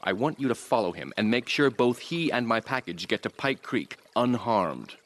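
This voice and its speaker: A man's voice: mid-range voice, animated, moderate pace, fairly bright tone, lightly acted. A man playing a suave, patronising crime lord in a video game, formal and lecturing. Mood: smug instruction. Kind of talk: video game cutscene acting.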